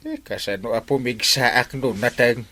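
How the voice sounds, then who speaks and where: A man's voice: medium pitch, normal speed, pushed and bright. A man playing a whiny, stammering village captain who malingers, in a comedy radio drama. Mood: whiny, pleading. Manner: whiny, hesitant stammering, exclamatory.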